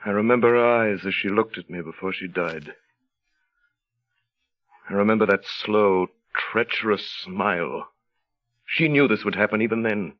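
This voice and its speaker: Man acting a bitter haunted husband, low voice, broody n dramatic, 1930s radio play, muffled old audio. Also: moderate pace, long pauses, natural voice. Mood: grim resignation. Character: haunted, bitter, despairing upper-class husband.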